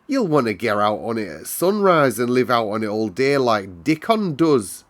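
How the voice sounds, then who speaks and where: A man's voice: medium pitch, big pitch swings, normal speed, voice pushed up, natural voice. A man voicing a cheerful, chatty young Yorkshire housemaid in broad dialect for a children's storybook. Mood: enthusiastic. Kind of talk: children's storybook read-aloud.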